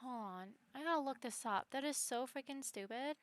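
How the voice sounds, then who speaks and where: A woman's voice: sits high, normal speed, dry recording. A woman game streamer giving casual live commentary, often talking to herself, with game music behind her.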